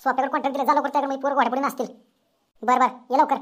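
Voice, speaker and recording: Casting: woman as excitable cartoon village youngster, very high pitch, rapid delivery, eager calling. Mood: eager, bossy. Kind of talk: comedy cartoon dialogue.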